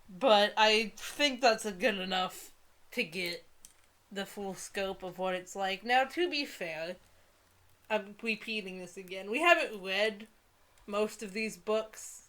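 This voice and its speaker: A woman with a mid-range voice reads and chats casually as a co-host. Her manner is animated, giggly and sarcastic, sliding between mock drama and offhand banter. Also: medium pitch. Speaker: co-host of a comedy book-reading video.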